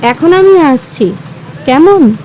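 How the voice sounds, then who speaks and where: A woman's voice: high-pitched, lively intonation, slow, mellow, slight background noise, poor audio. A woman playing a kind-hearted, earnest schoolgirl in an audio story. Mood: gentle, kindly.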